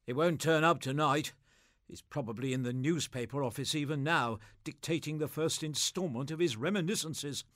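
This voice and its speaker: A man's voice: on the high side, normal speed, fairly bright tone, no room sound, lightly acted. A man playing a matter-of-fact upper-class English husband in an audio play, dryly resigned and understated. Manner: dry, understated.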